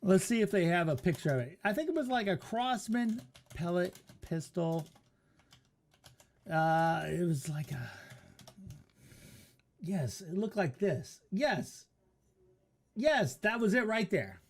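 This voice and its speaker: A man's voice: sits high, animated, slow pace, pauses often. A man hosting a casual storytelling stream, speaking conversationally with some swearing and repeating himself for emphasis. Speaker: storyteller and host reacting to a video.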